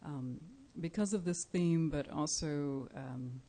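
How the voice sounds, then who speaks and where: Slow, mellow woman poet. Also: low-pitched. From Cuba. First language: Spanish. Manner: measured, deliberate, pausing often with soft fillers. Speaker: featured poet. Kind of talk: live poetry reading. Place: indoor live reading event.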